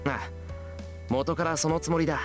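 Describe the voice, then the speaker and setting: A man's voice: a little high, steady tone, racing, over music, natural voice. A man playing an earnest, plain-spoken teenage schoolboy in a Japanese visual novel. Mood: reassuring.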